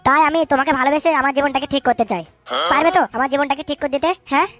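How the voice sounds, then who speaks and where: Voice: sits high, steady tone, rapid-fire, a bit bright, faint music behind. An actor voicing a crude, lovestruck cartoon sidekick, defensive and shifty, in speech, blustering and stammering when flustered. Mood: excitable, flustered. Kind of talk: comic cartoon dub with street slang.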